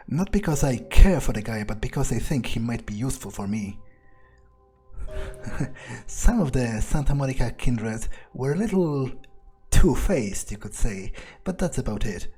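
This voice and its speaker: A man roleplaying his character over a game, speaking in a deliberate, sardonic way. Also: mid-range voice, lively intonation, mellow, breathy edge, soft background music. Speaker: roleplaying gamer.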